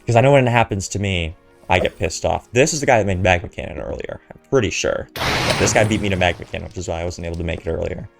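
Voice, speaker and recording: A young man talks over his gameplay in an easygoing, meandering way, his voice sitting very low and sounding blocked up from a cold. Music plays clearly behind the commentary. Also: quick pace. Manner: casual, filler-heavy, chatty. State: stuffy nose from a cold. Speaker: gaming commentator.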